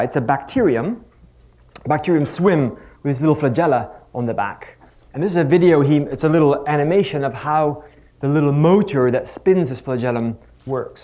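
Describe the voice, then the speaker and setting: A man's voice: a little high, warm tone. Wry man giving a lecture. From Netherlands. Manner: wry, self-deprecating, conversational with frequent restarts. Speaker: physics professor giving a lecture. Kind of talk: conference talk with humorous anecdotes. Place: conference stage before a live audience.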